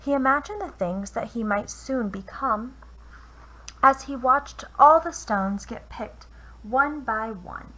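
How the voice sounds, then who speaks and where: A woman reading a children's picture book aloud with expressive, deliberate phrasing, as a teacher would for young listeners. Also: fairly high pitch. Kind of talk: children's storybook read-aloud. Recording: noisy home recording.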